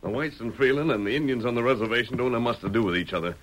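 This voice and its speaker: An actor playing a steady, commanding masked western hero with crisp, formal diction in old radio drama style. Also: male voice, mid-range voice, rapid-fire, close to an everyday voice, rough recording. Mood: calm, resolute. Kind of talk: 1940s radio western acting. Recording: old radio drama, faint crowd noise.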